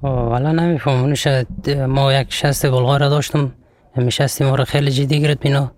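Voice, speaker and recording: A man's voice: mid-range voice, steady tone, rapid-fire, darkish tone, no room sound. A young man from Afghanistan giving a personal account in short, plain sentences. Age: young adult. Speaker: interviewee.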